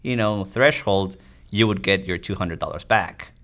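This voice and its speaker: Low-voiced man explaining in an interview. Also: normal speed, fairly bright tone. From Tijuana, Mexico. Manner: explanatory, often tagging points with "you know". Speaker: interview guest, physician. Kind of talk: conversational interview answers.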